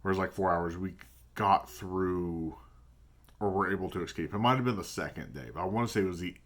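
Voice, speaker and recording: A man with a deep voice talking casually in a vlog about his tabletop gaming, thinking aloud and hesitating as he goes. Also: fairly quick, fairly bright tone. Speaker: tabletop game player. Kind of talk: casual reflective vlog talk.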